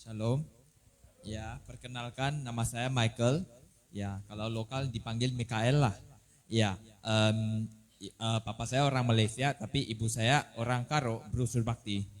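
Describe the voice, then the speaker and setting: A man from a visiting mission team introducing himself in a hesitant, halting way. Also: mid-range voice, fairly even intonation. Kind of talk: brief self-introduction.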